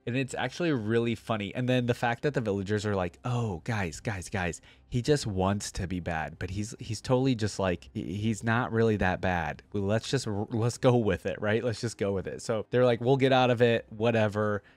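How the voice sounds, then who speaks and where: Young male reaction streamer, on the low side, casual think-aloud commentary, dry close-miked sound. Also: moderate pace, few pauses, no echo. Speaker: reaction video host. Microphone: close desk microphone on arm.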